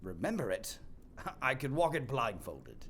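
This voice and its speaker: A woman playing a shaken old miser in an audio play, speaking in a halting, muttering way. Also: rumbling low, big pitch swings, fairly bright tone, slight background noise, full character voice. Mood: wistful, excited.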